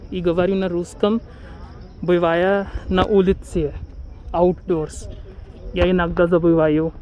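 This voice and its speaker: A man's voice: sits high, dull audio, a little hiss or hum. A man from India, narrating a walking tour outdoors in a lakeside park, careful and dreamy, often correcting himself. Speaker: travel vlogger.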